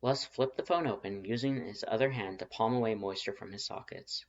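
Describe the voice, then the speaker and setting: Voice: medium pitch, brisk. A fan fiction narrator reads scripted prose in a storytelling style. The home recording is a little dull and lo-fi.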